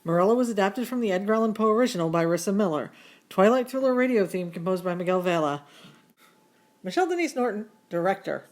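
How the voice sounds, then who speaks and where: A woman announcer reading scripted radio show announcements and credits in a deliberate, presentational way. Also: mid-range voice, animated, darkish tone.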